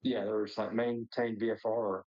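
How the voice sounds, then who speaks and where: A man's voice: on the low side, little pitch movement, normal speed, lo-fi sound. A man talking casually as a pilot sharing experience, in loose, hesitant phrasing sprinkled with "like". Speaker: pilot, discussion participant. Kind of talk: casual personal storytelling.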